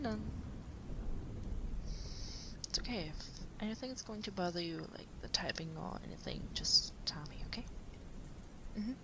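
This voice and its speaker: Woman as a hushed, caring late-night partner. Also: on the low side, poor audio. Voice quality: breathy, half-whispered. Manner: gentle, hesitant, unhurried murmuring. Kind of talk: intimate sleep-aid audio roleplay.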